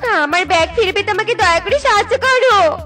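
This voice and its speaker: A woman voicing a vain, excitable young female fox in a comedy cartoon, girlish and dramatic. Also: very high voice, a little fast, heavily acted. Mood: pleading, sweet. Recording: music behind the voice.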